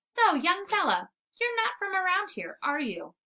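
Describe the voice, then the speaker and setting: A woman voicing a kindly female dog with a gentle, friendly voice for a storybook. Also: very high voice, wildly swooping pitch, unhurried, voice pushed up, full character voice. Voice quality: gentle, lovely voice.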